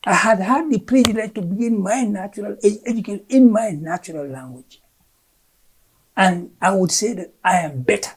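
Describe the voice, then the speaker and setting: A woman's voice: mid-range voice, moderate pace, slightly rough. A Gambian woman whose first language is Fula, a curriculum official explaining education policy in an interview in an emphatic manner, repeating words as she searches.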